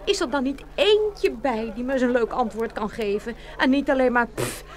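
A woman's voice: a little high, expressive, normal speed, lightly acted. A woman playing an impatient, imperious young princess in a fairy-tale audio play. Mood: exasperated. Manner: imperious, dismissive. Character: haughty, impatient young princess choosing a suitor.

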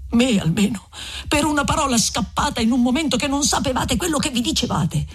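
A woman's voice: sits high, lively intonation, a little fast, slightly rough. A woman reading an audiobook voices a young male peasant who talks in a hurt, indignant, insistent way. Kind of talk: audiobook reading of a classic novel.

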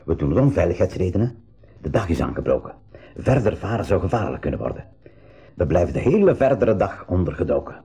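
A kindly Flemish submarine captain, a principled uncle figure in an eighties radio drama. His low, mellow voice swings widely as he commands and explains. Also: sing-song, fast talker, gravelly, breathy edge, exaggerated voice. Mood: earnest, firm. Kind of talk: 1980s school radio play acting.

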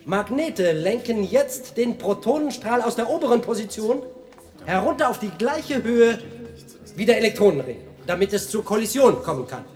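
A man's voice: sits high, expressive, normal speed, a little mellow, faint music behind. An actor playing a male guide who explains a research facility in a projected voice in a radio drama. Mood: instructive, projecting.

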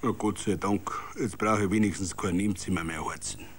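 A man's voice: low-pitched, fairly even intonation, fairly quick, slight background noise, voice pushed down, close to an everyday voice. A man voicing a put-upon Bavarian innkeeper, grumbling drily in dialect. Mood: dry relief.

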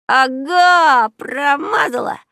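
A woman voicing lively, curious young children in a storybook, with an eager, childlike manner. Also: sits high, moderate pace, vocal fry, no room sound, studio quality, pitched above the performer's own voice. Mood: gleeful. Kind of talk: children's audio storybook character voice.

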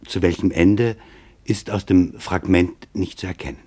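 An actor playing a grave, measured chronicler, narrating a German radio drama in a solemn, deliberate way. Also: male voice, low voice, fairly even intonation, a little mellow, breathy edge. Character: grave, measured male chronicler reporting witness testimony.

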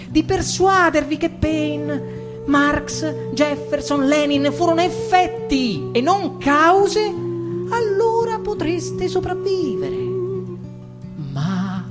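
Over prominent guitar music, a woman reads literary prose very slowly and theatrically. Her pitch moves widely, and she declaims with intensity, leaving dramatic pauses between phrases. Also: expressive. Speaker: actress reading in a radio collective. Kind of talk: theatrical dramatic reading of a novel.

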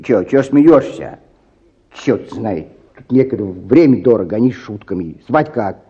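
An actor playing an irritable, officious clinic clerk in a radio drama, grumbling and snapping orders in a husky voice. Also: male voice, lowish voice, lively intonation, unhurried, lo-fi sound, full character voice. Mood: snappish, impatient. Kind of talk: Russian radio drama acting.

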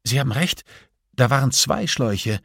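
Man voicing a flustered, anxious woman investigator, pitched up. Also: normal speed, vocal fry, fairly bright tone, dry recording, clean studio sound. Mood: searching, tense. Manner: hesitant, agitated, groping for details. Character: anxious female police investigator, flustered under pressure. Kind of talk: audiobook dialogue acting.

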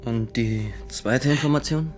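An actor in a German radio drama playing a rigid, zealous young inspector with a formal, clipped, stern way of talking. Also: male voice, medium pitch, measured pace, soft background music, close to an everyday voice. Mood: cold, controlled. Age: young adult. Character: rigid young male fox-hunting inspector, cold and zealous.

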